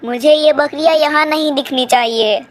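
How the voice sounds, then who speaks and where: Cartoon crow mother-in-law a woman acting: high-voiced, haughty, nagging and sharp-tongued. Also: normal speed, natural voice. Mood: angry.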